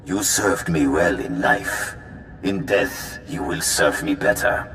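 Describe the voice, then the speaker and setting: A man's voice: rumbling low, sing-song, slow, vocal fry, fairly bright tone, faint music behind, heavily acted. An actor playing a proud, ruthless king in a video game, declaiming pompously. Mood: imperious. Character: proud, ruthless king issuing a deadly edict. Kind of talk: video game character acting. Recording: echoey hall with long reverb.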